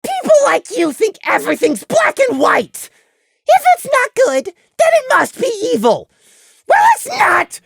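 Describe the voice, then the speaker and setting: A woman's voice: wildly swooping pitch, extremely slow, creaky, a bit bright, no echo, full character voice. A woman voicing a bitter, vengeful young male lamb with a cracking runt's voice in a dramatic audio story, seething and emphatic. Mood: bitter anger. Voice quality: high, cracking runt voice.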